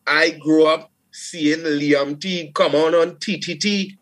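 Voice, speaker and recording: A man from Trinidad with a Trinidadian accent, a steelpan player and producer talking in a casual interview, animated, with frequent restarts and "you know". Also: on the high side, slow, rough recording.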